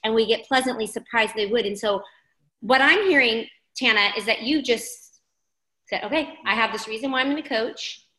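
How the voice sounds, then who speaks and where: Woman coach, bright voice, upbeat and emphatic, echoey room. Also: on the high side, normal speed. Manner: emphatic, affirming, repeats key phrases. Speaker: team coach leading a training call. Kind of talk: encouraging group training talk.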